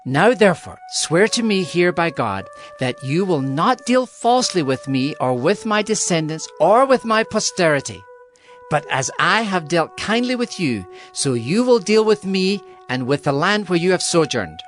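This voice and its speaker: An actor playing an earnest, insistent ruler in a dramatized scripture, formal and emphatic. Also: male voice, sits high, sing-song, moderate pace. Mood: solemn, insistent. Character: Abimelech, foreign male ruler, earnest and insistent. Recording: background music under the voice.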